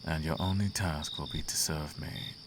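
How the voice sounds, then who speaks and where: A man playing a seductive, imperious demon prince in a flirtatious roleplay ASMR, speaking in a courtly, teasing manner with a creaky low voice. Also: fairly even intonation, normal speed, very noisy. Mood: seductive, indulgent.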